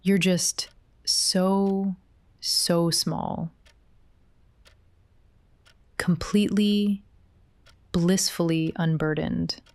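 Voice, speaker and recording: Woman acting as possessive female therapist, low-pitched, very slow, soft, coaxing, hypnotic ASMR roleplay. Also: fairly even intonation, no room sound. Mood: soothing, hypnotic.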